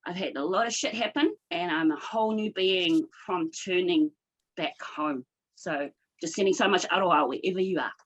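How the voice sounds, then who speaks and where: A woman from New Zealand, a guest business owner, talking in an expressive, casual way from her rural home off the grid. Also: lowish voice, normal speed, poor audio.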